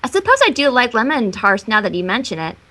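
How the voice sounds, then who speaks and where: A woman's voice: fairly high pitch, sing-song, fast, sharp tone. A woman bantering casually in a fan fiction read-along, playful and teasing, reading out character lines with friends. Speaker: guest co-reader voicing character lines.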